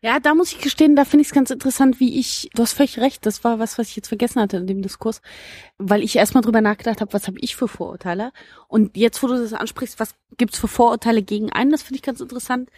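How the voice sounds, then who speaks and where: A woman's voice: fairly high pitch, fairly even intonation, quick pace, a little mellow, slightly rough, no echo, clean studio sound. A woman from East Berlin hosting a casual podcast discussion outdoors, reflective and given to long winding sentences. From East Berlin, Germany. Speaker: podcast host. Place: outdoors, open-air recording.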